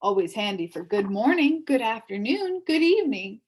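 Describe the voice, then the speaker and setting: A woman reading a storybook aloud and voicing a loud, pushy male word salesman at a market stall, calling out with booming hawker's patter. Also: big pitch swings, moderate pace, breathy edge. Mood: persuasive.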